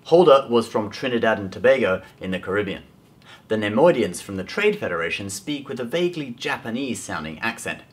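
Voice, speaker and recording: A young man with a low voice presents a scripted video to camera. He talks as the host, explaining things point by point. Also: very animated, a little fast, over music. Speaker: host of a film trivia video. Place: small indoor room at home.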